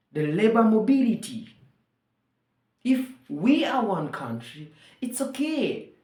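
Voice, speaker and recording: A woman's voice: mid-range voice, echoey room. A woman from Uganda whose first language is Luganda, speaking in an earnest, rallying way and repeating phrases for emphasis. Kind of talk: persuasive monologue to camera.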